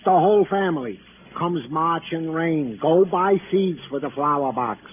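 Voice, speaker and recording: Actor as a fussy, higher-voiced comic police sergeant. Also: male voice, moderate pace, narrow, tinny audio, lightly acted. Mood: exasperated, grumbling. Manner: pompous officialese mixed with complaining. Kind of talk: 1950s radio comic-relief acting. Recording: thin, noisy old radio broadcast.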